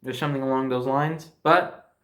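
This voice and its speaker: A man's voice: medium pitch, steady tone, normal speed, a bit bright. An American man telling a personal anecdote in a casual, conversational and emphatic way, as a storyteller. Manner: conversational, emphatic, mildly profane.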